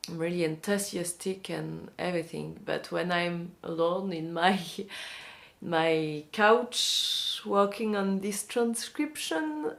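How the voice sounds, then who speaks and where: Slow, gravelly French-accented woman. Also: fairly low pitch, fairly bright tone. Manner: hesitant, many restarts and fillers. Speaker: vlogger, magazine publisher. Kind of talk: casual vlog monologue.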